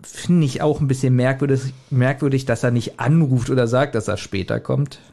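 A man hosting a casual podcast, retelling a story scene by scene in a halting, chuckling manner. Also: medium pitch, steady tone, vocal fry, warm tone. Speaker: podcast host retelling an audio drama. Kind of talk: casual scene-by-scene recap among friends.

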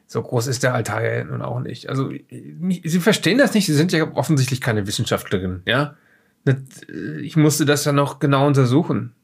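A man playing a frightened, defensive scientist in a horror audio drama, speaking haltingly with a husky, gravelly voice. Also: mid-range voice, expressive. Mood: flustered, defensive. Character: frightened, defensive male scientist recounting occult horrors under questioning. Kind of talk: improvised audio drama dialogue.

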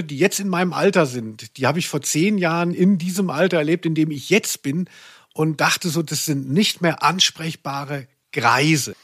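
Guy podcast host, slightly high voice, joking casually, no room sound at all. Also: fairly bright tone, dry recording, clean studio sound. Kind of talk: casual humorous chat about audio plays.

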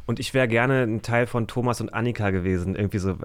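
A man's voice: lowish voice, fast, clean tone. A man, chatting casually as a guest in a loose, slangy, searching way. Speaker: podcast guest, actor. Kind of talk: casual chat.

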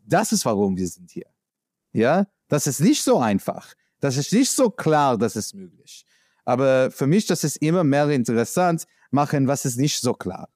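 A man from the United States with an American accent, speaking in a halting, searching way with many fillers, answering as an interviewee. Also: fairly high pitch, dark tone, no echo, clean studio sound. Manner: halting non-native speech with many fillers. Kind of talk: conversational interview.

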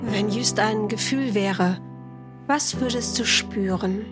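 A woman's voice: medium pitch, slow. A woman reading short scripted questions in a podcast segment. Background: music.

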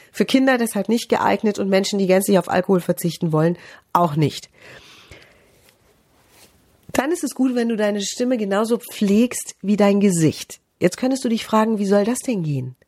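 Lively German vocal coach woman. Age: middle-aged. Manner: lively, swoops high and low to illustrate. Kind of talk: podcast advice talk.

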